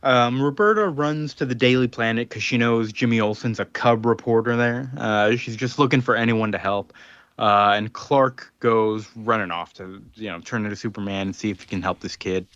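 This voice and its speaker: A man's voice: fairly low pitch, normal speed, a bit bright, dry recording, muffled recording. Chatty man recapping a comic, dry sound. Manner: rambling, many ums and restarts, acts out quotes. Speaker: podcast co-host. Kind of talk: casual comic book recap.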